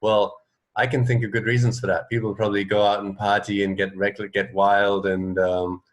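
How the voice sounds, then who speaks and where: Low-voiced man co-hosting a radio show. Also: flat delivery, moderate pace. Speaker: radio co-host. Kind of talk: casual chat between music.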